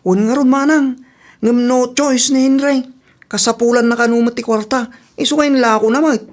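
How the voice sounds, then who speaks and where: A woman's voice: a little high, fairly even intonation, brisk, natural voice. A woman playing a mild, agreeable married male farmer in an Ilocano radio drama, with an Ilocano Filipino accent.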